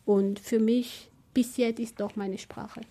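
A woman's voice: on the high side, steady tone, slow pace, mellow. A woman who grew up in Gabon, speaking on a panel and searching for her words with many fillers. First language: French. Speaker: panel guest, language researcher. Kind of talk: panel discussion.

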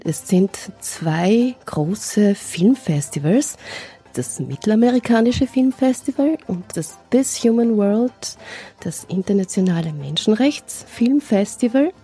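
Slow, gravelly-voiced woman radio host. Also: mid-range voice, lively intonation, warm tone, faint music behind. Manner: measured, formal announcing. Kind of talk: radio moderation. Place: radio studio. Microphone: studio microphone.

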